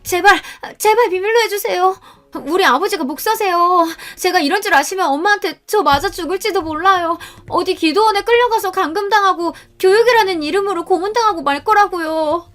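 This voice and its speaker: A woman's voice: very high voice, fairly quick, a bit bright, pitched above the performer's own voice. A woman voicing a panicked young daughter-in-law who stammers and pleads in a radio-style story. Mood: desperate pleading.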